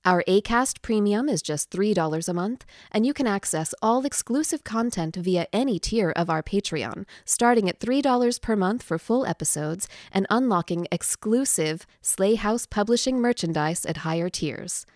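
A woman's voice: mid-range voice, animated, normal speed, few pauses, a little mellow, no echo, studio quality. A woman presenting for a publisher, reading a scripted promotional announcement.

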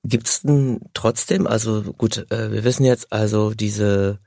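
A man's voice: fairly low pitch, steady tone, a little slow, creaky, dark tone, dry recording, studio quality. A man hosting a casual interview, asking questions in an informal, curious way. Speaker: interviewer, host.

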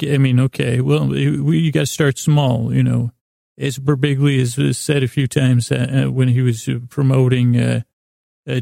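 Man, podcast host, bedtime monologue, gravelly fry, dark relaxed tone, halting, digressive, repetitive. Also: medium pitch, dry recording, clean studio sound. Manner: halting, stumbling, repeats himself, loses his thread.

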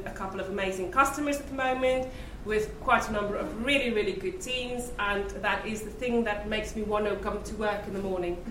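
Woman panellist, bright and slightly high, laughing easily, echoey room with mic at a distance. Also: a little hiss or hum. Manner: warm, laughing, reflective. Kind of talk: live panel discussion. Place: event venue with live audience. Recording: roomy, distant, live-event ambience.

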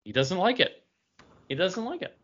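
A man's voice: high voice, very animated, moderate pace, fairly bright tone, breathy edge, highs cut off. A man casually talking through a story as a podcast co-host. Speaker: comic book podcast co-host. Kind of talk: casual book discussion.

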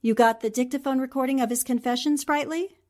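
High-voiced, confident woman newspaper sleuth acted. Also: fairly even intonation, fast talker, darkish tone, slight background noise, natural voice. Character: sharp, confident newspaperwoman sleuth explaining a murder. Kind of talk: 1940s-style radio drama acting.

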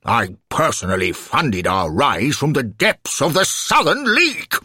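A man's voice: lowish voice, huge pitch swings, slow, fairly bright tone, breathy edge, no echo, clean studio sound, pitched above the performer's own voice, exaggerated voice. A man voicing a pompous, self-important alderman and club chairman in a storybook reading, pontificating with blustering indignation. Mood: indignant bluster.

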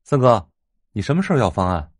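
An actor playing a curious young sidekick in an audio drama, eager and a little whiny. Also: male voice, lowish voice, sing-song, fairly quick, dry recording, clean studio sound.

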